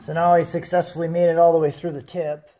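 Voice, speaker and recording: A young man talking through a welding project in his home garage, relaxed and thinking aloud as he works, recorded on a camera mic that drifts near and far. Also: on the high side, fast. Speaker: DIY welding vlogger. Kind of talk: casual how-to narration. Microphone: camera mic, moving between close and far.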